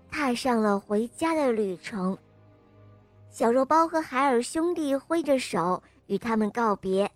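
Slow, high-voiced woman telling children's stories. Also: no echo. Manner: expressive, addressing little listeners. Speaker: children's story narrator. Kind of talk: scripted storybook narration for young children. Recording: music under the voice. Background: music.

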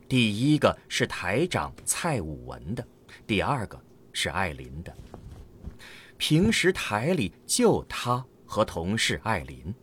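A man narrates a sci-fi audio drama in a fairly low voice. His scripted delivery is slow and dramatic, with widely varied intonation, over faint music. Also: a bit bright, slight background noise. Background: faint background music.

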